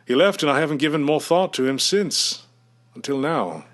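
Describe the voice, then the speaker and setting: A man's voice: a little high, lively intonation, fairly bright tone. Man doing a stuffy, dramatic university chancellor. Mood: reflective, doubtful. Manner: stuffy, halting, melodramatic. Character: fussy, shocked university chancellor, courteous male academic. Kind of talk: old-time radio character acting.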